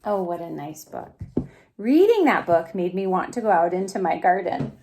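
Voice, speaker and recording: Gentle, mellow woman reading to young children. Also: fairly low pitch, very animated, moderate pace, slight background noise. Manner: gentle, asks the listening children questions. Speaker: preschool story time reader. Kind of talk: expressive picture-book read-aloud for young children.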